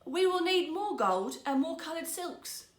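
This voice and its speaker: A woman voicing a sly, flattering rogue weaver in a storybook, with wheedling, showy salesmanship. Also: extremely high pitch, unhurried, reverberant, recorded from a distance, pitched above the performer's own voice.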